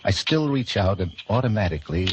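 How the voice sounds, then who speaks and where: An actor playing a blind detective captain, composed and courteous, with a measured, wry delivery in old-time radio drama style. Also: male voice, on the low side, very animated, noisy background. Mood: brooding, wry. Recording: old radio broadcast with music behind, muffled.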